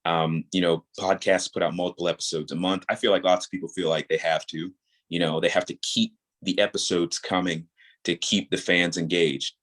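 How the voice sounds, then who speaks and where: A man's voice: low-pitched, level tone, normal speed, fairly bright tone. A man in his thirties speaking as a guest in a casual interview, explaining his creative craft and repeating points for emphasis. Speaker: audio drama creator, podcast guest.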